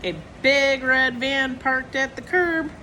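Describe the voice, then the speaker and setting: A woman reading a children's picture book aloud in a deliberate storytelling manner, acting out the characters' lines. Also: high-pitched, steady tone, sharp tone, slight background noise. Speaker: storybook reader.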